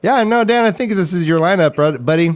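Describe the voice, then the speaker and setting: A man's voice: sits high, lively intonation, a little fast. A man hosting a fantasy football livestream, chatting casually in a playful, joking way. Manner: jokey, playful. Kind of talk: casual chat, joking sports talk.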